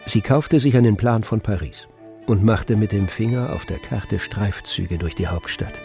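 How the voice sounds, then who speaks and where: A man narrating a literary radio drama from a script in a measured storytelling manner. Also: fairly low pitch, gravelly, dark tone. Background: music with violin.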